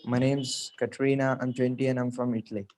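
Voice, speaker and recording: A man's voice: mid-range voice, flat delivery, fairly quick, darkish tone. A student, a man, reading a short text aloud.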